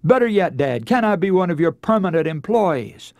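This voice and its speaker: An American man preaching as a storyteller, voicing a remorseful son who humbly and haltingly pleads with his father. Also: sits high, big pitch swings, a little mellow, no echo, clean studio sound, voice pushed up. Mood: humble pleading. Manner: pleading, halting, self-reproaching.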